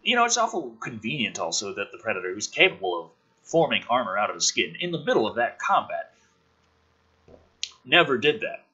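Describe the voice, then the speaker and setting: A man's voice: mid-range voice, sing-song, fairly quick, pushed and bright, husky, muffled recording. A man reading a script aloud dramatically as host, with an emphatic, sardonic delivery and profane asides. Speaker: host reading a film script aloud with his own commentary.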